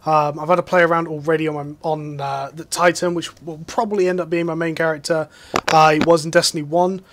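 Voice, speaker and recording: A man's voice: a little high, little pitch movement, a little fast, a bit bright, no room sound. A man giving casual, rambling gameplay commentary as a channel host. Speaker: gaming youtuber.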